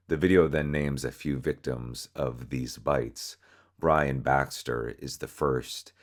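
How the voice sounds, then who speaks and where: A man with a strikingly deep, bottom-register voice hosts an explainer. He narrates in a measured, explanatory manner, moving between scripted delivery and looser commentary. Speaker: host explaining a horror web series.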